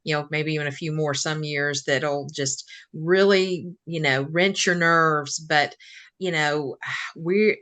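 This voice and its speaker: A woman's voice: low-pitched, takes it slowly, fairly bright tone. A woman who is an educator co-hosting an interview, reacting with eager, encouraging enthusiasm. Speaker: co-host, educator. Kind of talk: casual interview chat.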